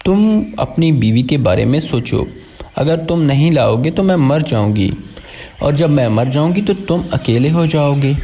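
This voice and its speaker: A man's voice: a little high, animated, unhurried, mellow, a little hiss or hum. A man voicing a scheming, insistent female crocodile wife in a children's story, nagging and persuasive.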